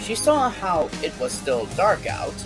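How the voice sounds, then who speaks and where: Male story narrator: higher register, unhurried, animated scripted read over background music. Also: low-quality recording. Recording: music behind the voice.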